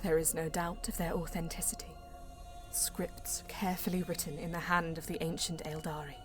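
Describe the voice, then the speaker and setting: Female elven mystic played by a woman for audio drama: lowish voice, unhurried, reverent and grave, music beneath. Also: little pitch movement, lightly acted. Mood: solemn awe. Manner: measured, hushed, dramatic, portentous delivery. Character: female Eldar bonesinger, solemn, proud, reverent guide. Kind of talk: scripted audio drama narration and dialogue. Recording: music under the voice.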